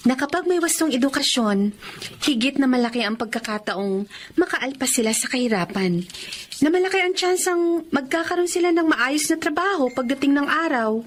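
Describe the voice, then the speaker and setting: A woman's voice: high voice, lively intonation, normal speed, a little hiss or hum. An actress playing an idealistic young woman who teaches village children, earnest and heartfelt, in a Filipino radio drama. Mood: earnest, hopeful.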